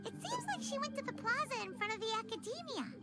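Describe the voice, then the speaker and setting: A woman voicing a tiny floating companion sprite in a game, with a squeaky, bouncy childlike voice and an excitable, chirpy manner. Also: fast talker. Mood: cheerful. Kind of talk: game cutscene voice acting. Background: game music.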